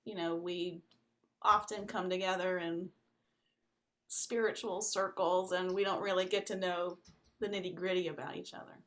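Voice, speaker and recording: A woman's voice: fairly low pitch, flat delivery, normal speed, a bit bright. A woman hosting a casual podcast interview, enthusiastic and gushing, filling her speech with "like" and laughter. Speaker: podcast host.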